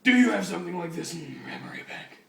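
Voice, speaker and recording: A man's voice: fairly high pitch, very animated, quick pace, creaky, fairly bright tone, heavily acted. A man voicing a grim masked vigilante detective in a terse, melodramatic way for a fan fiction reading. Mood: grave. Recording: echoey room, distant noisy mic.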